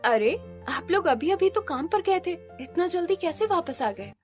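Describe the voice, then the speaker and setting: A woman's voice: very high-pitched, big pitch swings, moderate pace, faint music behind. A woman playing a kind, hopeful young daughter-in-law who speaks in an earnest, reassuring way in a cartoon. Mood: bright, caring.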